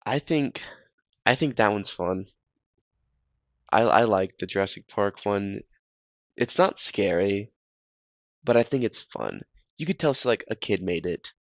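Low-voiced young man, casual reactions. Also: expressive, unhurried, long pauses, no room sound, studio quality. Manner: rambling, repeats phrases. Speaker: host ranking horror videos.

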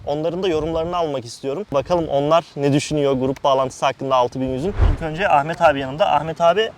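A man's voice: a little high, quick pace. A man talking casually to viewers and friends as a motorcycle vlogger, hosting the video. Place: outdoors, on a motorcycle and at a windy roadside. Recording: noisy outdoors, wind and engine noise.

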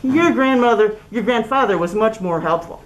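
Woman putting on a coaxing little leprechaun man, lively pitch. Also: normal speed, lightly acted, poor audio. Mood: glum, earnest. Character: little male leprechaun, tricky, pleading for help.

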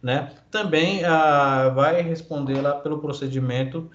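A man's voice: on the high side, a little slow, a bit bright. A man with a Brazilian Portuguese accent, a law professor giving an online lecture, reading legal articles aloud and explaining them with many fillers and tag questions. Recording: echoey, dull lo-fi call audio.

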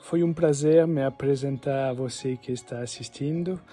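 A man in his forties with a French accent, a private chef telling his life story to a phone held at arm's length outdoors, speaking slowly with many "eh" pauses. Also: medium pitch, dark tone, breathy edge, soft background music. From France. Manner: slow and halting, with frequent "eh" pauses. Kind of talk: personal story to camera. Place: outdoors by a stone wall with plants. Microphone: phone held at arm's length, selfie framing.

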